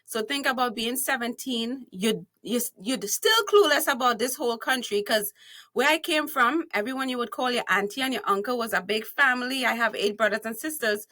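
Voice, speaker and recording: A woman's voice: a little high, lively intonation, brisk, pushed and bright. A woman with a Trinidadian accent, a guest on a podcast interview, telling her story in a bold, playful way. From Trinidad and Tobago. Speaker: guest, speaker and author.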